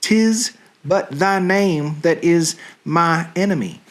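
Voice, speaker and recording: A man playing a lovestruck young noblewoman, reading Shakespearean verse in a halting, theatrical way. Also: a little high. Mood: yearning.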